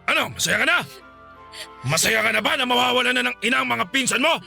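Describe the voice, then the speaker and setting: A cruel, shouting uncle. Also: male voice, extremely high pitch, animated, quick pace, vocal fry, soft background music, exaggerated voice. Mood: accusing. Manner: harsh, taunting, yelling threats. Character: cruel shouting middle-aged uncle, bitter and violent. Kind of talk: radio drama acting.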